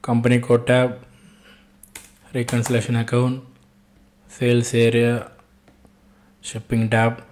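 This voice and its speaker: A man with an Indian accent giving an off-the-cuff software tutorial as an instructor, repeating himself and posing short questions that he then answers. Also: mid-range voice, monotone, slow, long pauses. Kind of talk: step-by-step screen-share tutorial, spoken off the cuff.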